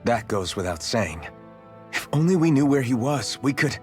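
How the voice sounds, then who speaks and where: A resolute young hero played by a man with a mid-pitched male voice. He is earnest and loyal in a video game drama, with music clearly playing behind him. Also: sing-song, normal speed, lightly acted.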